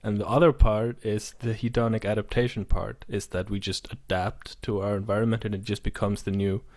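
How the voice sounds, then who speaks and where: A man's voice: fairly low pitch, level tone, a little fast, a little mellow. A man co-hosting a podcast, talking in a loose, rambling way with restarts and casual swearing. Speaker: podcast co-host. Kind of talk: casual chat.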